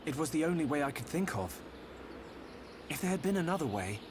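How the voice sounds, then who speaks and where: A man's voice: a little high, sing-song, fairly quick, background noise. A man playing an earnest, determined young hero in a video game, with a British accent. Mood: reflective. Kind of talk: dramatic video game cutscene acting. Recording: loud music behind the dialogue.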